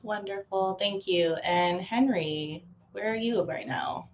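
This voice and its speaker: A woman hosting an online panel discussion over a video call, friendly and quick to laugh. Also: mid-range voice, lo-fi sound, slight background noise. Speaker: panel host.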